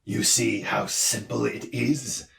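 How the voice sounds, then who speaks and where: A man voicing a pompous male doctor who lectures loudly and roars, in a storybook reading. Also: fairly low pitch, fairly even intonation, takes it slowly, creaky, echoey room, far from the mic, heavily acted. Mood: lecturing. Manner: loud, roaring, lecturing. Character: booming gruff male noise doctor, pompous.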